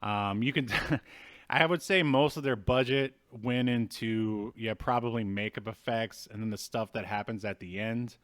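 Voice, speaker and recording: A man in his thirties co-hosting a movie review show, recapping a film in a casual, wry, halting way. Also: fairly low pitch, fairly bright tone. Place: indoors at home, video call.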